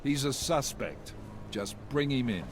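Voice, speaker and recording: A man's voice: medium pitch, lively intonation, unhurried, background noise, close to an everyday voice. An actor playing a stern male agency director who gives firm, curt orders. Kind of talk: video game dialogue.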